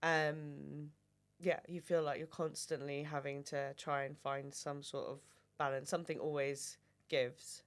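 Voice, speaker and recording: A woman's voice: low-pitched, flat delivery, unhurried. Thoughtful woman, filler-heavy. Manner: thoughtful, explains at length with many fillers. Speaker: guest, family member. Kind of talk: casual podcast chat.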